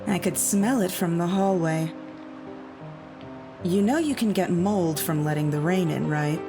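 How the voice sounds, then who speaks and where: A woman's voice: lowish voice, unhurried, music in the background. A woman playing a guarded, businesslike scientist in a visual novel, with a dry, no-nonsense manner. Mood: dry, unamused. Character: guarded, businesslike female scientist handling a dangerous entity. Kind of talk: scripted visual novel voice acting.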